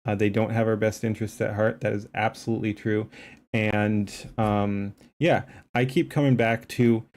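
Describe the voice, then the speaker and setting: A man's voice: fairly low pitch, fairly even intonation, moderate pace, a little mellow. A man commenting live on a stream, talking emphatically in a rambling way, with lots of fillers and restarts. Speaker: streamer reacting to a debate clip.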